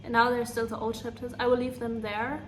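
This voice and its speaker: A young German woman, a native German speaker, talking earnestly in a soft, unhurried way about writing her novel. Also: a little high, level tone, a little hiss or hum, rough recording. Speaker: author reading her own novel. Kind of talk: reflective vlog talk.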